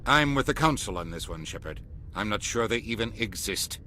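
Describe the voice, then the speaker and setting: An actor playing a curt, self-important ambassador in a video game, brusque and stern in manner. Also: male voice, lowish voice, lively intonation, normal speed, sharp tone, a little hiss or hum. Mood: skeptical, brisk. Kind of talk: video game dialogue acting.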